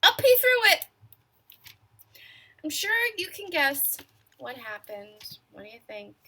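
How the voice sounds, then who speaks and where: Bright, lively woman reading to kids. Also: sits high, slow pace, slight background noise. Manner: expressive, asks young listeners questions. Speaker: storyteller reading a picture book. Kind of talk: children's read-aloud.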